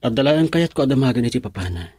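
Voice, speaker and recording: A man's voice: mid-range voice, expressive, fast, a little mellow, husky, no room sound. An actor with a Filipino accent playing an earnest, lovelorn young man who speaks respectfully in a radio drama. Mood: earnest, pleading. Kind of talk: Ilocano radio drama acting.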